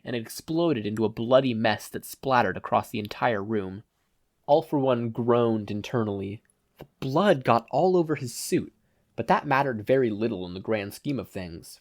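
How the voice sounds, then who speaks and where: A man's voice: mid-range voice, a little mellow. Male narrator reading a story. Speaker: podfic narrator.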